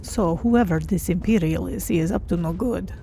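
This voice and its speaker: A woman voicing a curious, cautious female cat-folk game adventurer, speaking in deliberate, formal phrasing as she narrates her journey. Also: on the low side, mellow. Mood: wary curiosity. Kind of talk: in-character game playthrough narration. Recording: clear background noise under voice.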